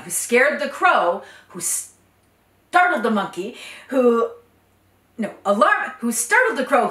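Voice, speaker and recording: A woman voicing a pompous male lion king who declaims slowly and loudly. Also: fairly high pitch, roomy sound, recorded from a distance. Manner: slow, loud, declaiming.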